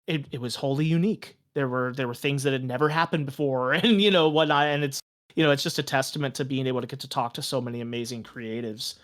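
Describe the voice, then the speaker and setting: A man speaking casually as a podcast guest, earnest and reflective, with halting phrasing. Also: mid-range voice, fairly even intonation, a bit bright. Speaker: podcast guest, tabletop game master and show creator. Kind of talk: casual conversational interview.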